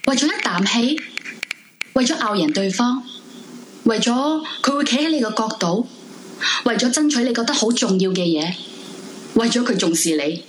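A woman's voice: fairly high pitch, expressive, moderate pace, pauses often, slight background noise, lightly acted, low-quality recording. An actress playing a distraught, clinging young woman in a radio drama, in Hong Kong Cantonese, with a melodramatic delivery. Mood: brooding, bitter. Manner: pleading, melodramatic, shifting between hushed monologue and frantic cries. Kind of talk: Cantonese supernatural radio drama, acted live with first-person narration. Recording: loud music behind the voice. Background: music.

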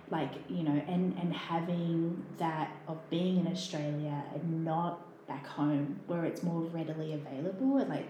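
A woman's voice: low-pitched, warm tone, a little hiss or hum. A woman from New Zealand, a podcast guest talking casually, with many fillers and restarts. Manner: chatty, lots of fillers and restarts. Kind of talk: casual conversation. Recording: echoey room.

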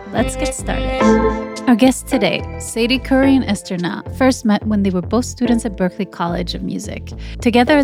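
A woman hosting a music podcast, reading an upbeat scripted intro and then chatting casually with her guests. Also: mid-range voice. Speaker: podcast host.

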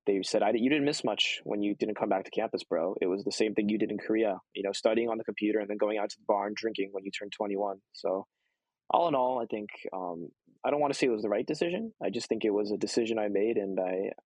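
A man's voice: fairly low pitch, a little mellow, slightly rough, no echo, poor audio. A young man answering interview questions casually, with many ums and restarts. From United States. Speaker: guest.

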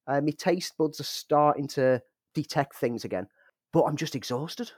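A man's voice: fairly high pitch, normal speed, a little mellow, no room sound. Guy on a podcast, rambly and self-mocking, bit of a cough, dry close mic. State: lingering cough. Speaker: podcast co-host. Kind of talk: casual podcast chat.

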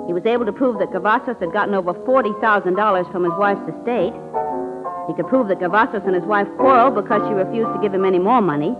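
A woman's voice: medium pitch, quick pace, lightly acted. A spirited girl reporter played by a woman in a 1940s radio drama. She is earnest and stubborn, and her voice comes through a thin, tinny recording with organ music behind. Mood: insistent.

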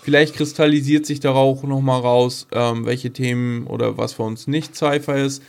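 A man's voice: mid-range voice, steady tone, moderate pace, vocal fry, clean tone. A man chatting casually as a podcast co-host, rambling informally and talking straight to the listeners.

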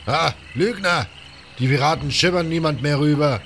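A man voicing a gruff, suspicious bandit sentry in a fantasy audio story, with blunt, coarse talk. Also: on the high side, normal speed, a bit bright, slight background noise, voice pushed up. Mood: suspicious, challenging. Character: gruff, suspicious, griping bandit sentry guarding a swamp outpost.

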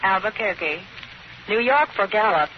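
A woman's voice: medium pitch, lively intonation, normal speed, natural voice. An actress playing a polite, businesslike female telephone operator in a 1940s radio drama, formal and precise, on an old recording. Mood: brisk, courteous. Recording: muffled old radio recording, faint noise.

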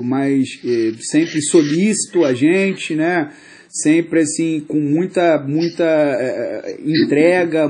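A man's voice: on the high side, a little mellow, breathy edge. A male podcast co-host, asking a guest a long question, full of hesitations and fillers. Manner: halting, lots of fillers and restarts. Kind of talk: interviewing a guest.

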